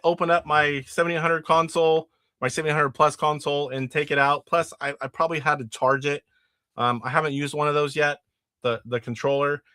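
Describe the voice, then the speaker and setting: A man's voice: on the high side, sharp tone, clean tone, no room sound, clean studio sound. A middle-aged man hosting a casual live stream about retro games, sick and nasally with his voice starting to go. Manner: rambling, demonstrating games live. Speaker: retro gaming show host.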